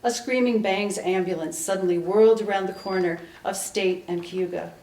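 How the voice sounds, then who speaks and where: A woman's voice: medium pitch, normal speed, a little mellow. A woman giving a dramatic reading of fiction as part of a literary ensemble, in a live event room. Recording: roomy, distant microphone in a hall.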